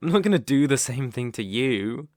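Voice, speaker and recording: A man's voice: mid-range voice, animated, normal speed, no echo, natural voice. Man acting a cocky British college bully, close-up. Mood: cool warning. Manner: teasing, condescending, swaggering. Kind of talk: ASMR roleplay acting.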